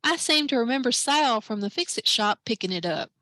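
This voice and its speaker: A woman's voice: on the high side, expressive, fairly quick, sharp tone, no room sound. A woman doing a folksy diner waitress.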